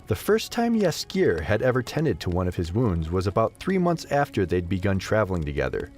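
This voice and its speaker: Low, animated male story narrator. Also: fairly quick. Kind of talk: expressive scripted story narration.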